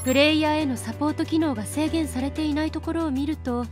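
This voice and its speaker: Lady doing poised young anime game woman, high voice, serious and measured. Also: fairly even intonation, over music, close to an everyday voice. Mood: concerned, analytical. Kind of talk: Japanese anime game voice acting.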